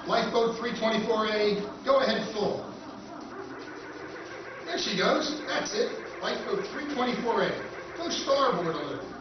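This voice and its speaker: A gung-ho male surveyor, played by an actor in a radio drama. The voice is very high for a man, brisk and bossy. It sounds distant, with clear noise around it. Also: animated, highs cut off. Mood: eager. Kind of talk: old-time radio drama dialogue on stage. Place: convention stage. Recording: echoey, noisy, distant stage recording.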